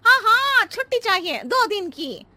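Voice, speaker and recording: A woman's voice: very high voice, very animated, measured pace, bright, forceful tone, dry recording, exaggerated voice. A woman voicing a scatterbrained housemaid who talks in rambling circles and riddles in a comedy cartoon. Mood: evasive, rambling. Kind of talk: exaggerated Hindi cartoon comedy acting.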